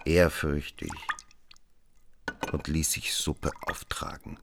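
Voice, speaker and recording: An actor playing a worldly, sardonic gentleman who tells his own story in a literary radio drama. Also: rumbling low, level tone, takes it slowly, noisy background. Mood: reflective narration. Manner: literary narration, ironic in dialogue. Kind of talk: German radio drama narration and acting.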